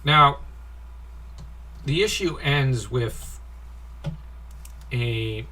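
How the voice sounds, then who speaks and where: A man's voice: mid-range voice, animated, extremely slow, pushed and bright, rough recording. A man giving an informal comic review in a nitpicky, critical way, given to sighing. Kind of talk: informal comic review commentary with read-out dialogue.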